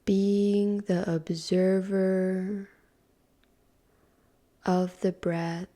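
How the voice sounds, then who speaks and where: Very slow, soft-voiced woman guiding meditation. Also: mid-range voice. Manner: calm, unhurried, long pauses. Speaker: meditation guide. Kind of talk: guided meditation.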